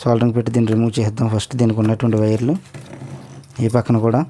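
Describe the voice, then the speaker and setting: Guy doing a repair tutorial, talks fast, mellow low-toned voice, keeps saying look here. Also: monotone, slight background noise. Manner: explains step by step, often asks the viewer to look.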